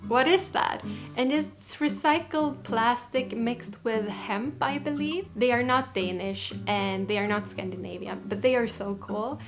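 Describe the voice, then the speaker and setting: A woman's voice: on the high side, unhurried, over music. Chatty woman vlogging. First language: Swedish. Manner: enthusiastic, chatty, with frequent restarts. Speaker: fashion vlogger. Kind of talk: casual chat to camera.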